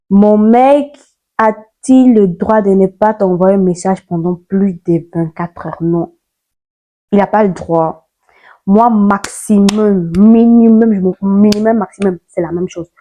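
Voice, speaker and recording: A woman's voice: mid-range voice, dark tone. Chatty young woman with a lilt. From Ivory Coast. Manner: chatty, playful, sassy, mixes slang. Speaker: YouTuber. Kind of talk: casual vlog chat.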